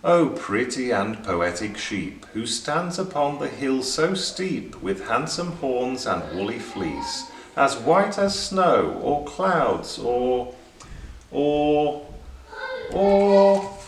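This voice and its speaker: A man's voice: medium pitch, big pitch swings, drawn-out words, voice pushed up, heavily acted. A man voicing an earnest, lonely young male rabbit poet who recites in rhyme for a children's storybook. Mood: dreamy, searching. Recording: roomy, distant, faint background noise.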